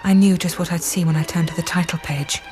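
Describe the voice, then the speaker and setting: A woman's voice: fairly low pitch, steady tone, fast talker, a little mellow, soft background music, close to an everyday voice. An actress playing an earnest, troubled woman psychiatrist, tender but guarded in a radio drama. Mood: hushed, brooding.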